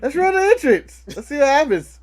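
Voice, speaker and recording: An American man with a notably high voice co-hosts a casual live podcast. His pitch swings widely as he banters. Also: sing-song, brisk. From United States. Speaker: podcast co-host.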